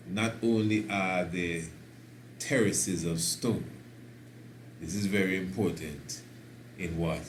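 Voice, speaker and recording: A man hosting a talk, speaking slowly and emphatically and building to excited declarations. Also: low-pitched. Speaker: host. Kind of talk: preaching talk. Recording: roomy echo with faint noise.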